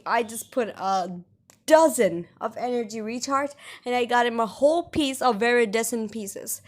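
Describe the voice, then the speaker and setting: A young woman giving casual, chatty, rambling gameplay commentary as a video creator. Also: on the high side.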